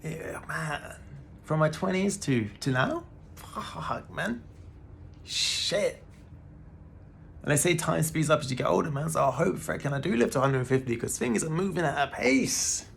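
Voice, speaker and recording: A man's voice: a little high, expressive, unhurried, gravelly, a little hiss or hum. A man in his thirties giving a casual, laid-back spiritual talk to the camera, often calling the listener "man" and swearing now and then. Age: 30s. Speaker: spiritual vlogger.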